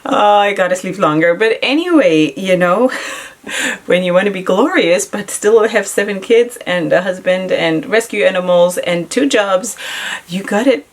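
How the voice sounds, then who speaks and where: A middle-aged woman who speaks English as a second language chats casually through a makeup routine, giggling often and filling pauses with "you know" and "like". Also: mid-range voice. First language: not English. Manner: chatty, giggly, many fillers. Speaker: beauty vlogger. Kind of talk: casual makeup tutorial chat. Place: home makeup setup in Israel.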